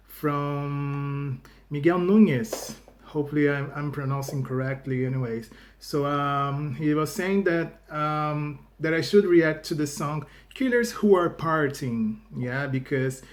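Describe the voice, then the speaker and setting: A man with a Brazilian accent chats casually to his channel in English, a second language after his native Portuguese. His voice sits a bit high for a man. He talks in stops and starts, often repeating words before he finishes a thought. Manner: halting, restarts phrases, fills with "you know". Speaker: YouTube reaction host. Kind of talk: casual video chat to viewers.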